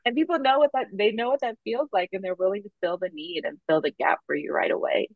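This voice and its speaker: A woman's voice: mid-range voice, quick pace, no echo, narrow, tinny audio. A woman guest on a podcast, talking earnestly and telling anecdotes animatedly. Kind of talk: casual interview chat.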